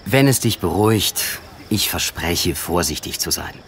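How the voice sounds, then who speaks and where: Gentleman storyteller for gothic audio drama: deep-ish, formal, literary, measured. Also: expressive, slight background noise, heavily acted. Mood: composed. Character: educated gentleman recounting an eerie past adventure. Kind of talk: audio drama narration and dialogue. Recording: music under the voice.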